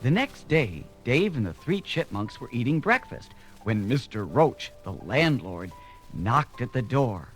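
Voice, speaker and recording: Animated male storyteller with music behind. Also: medium pitch, unhurried, rough recording. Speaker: narrator. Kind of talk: scripted children's read-along storytelling.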